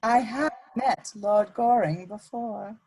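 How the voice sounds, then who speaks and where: Arch, high-voiced scheming society lady played by a woman. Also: slow, a little mellow, husky, exaggerated voice, poor audio. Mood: coy, teasing. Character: witty, scheming society woman newly arrived from Vienna. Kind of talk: dramatic reading of a period stage comedy.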